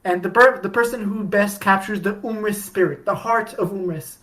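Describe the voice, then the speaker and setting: A woman's voice: mid-range voice, normal speed, a bit bright. A woman co-hosting a fan podcast, talking casually with lots of restarts and fillers as she retells fandom history. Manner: rambling, many restarts and fillers, laughs often. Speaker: podcast co-host.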